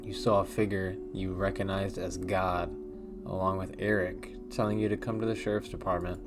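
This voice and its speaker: A man reading a text horror game aloud in a hushed, measured way with a wondering, uneasy tone. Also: sits low, little pitch movement, over music. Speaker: let's play host.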